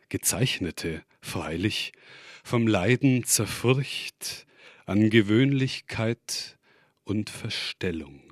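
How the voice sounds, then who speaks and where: A man recites poetry in a fairly low voice. His pace is extremely slow, with each short phrase set apart and measured. The sound is dry, with hardly any room around it. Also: dry recording. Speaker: poet reading own work. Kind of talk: poetry reading.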